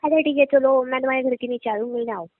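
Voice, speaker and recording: Sassy high-voiced young woman on a phone call. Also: racing, dry recording. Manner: sassy, argumentative. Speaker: girlfriend in comedy phone call. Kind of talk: scripted comedic phone banter.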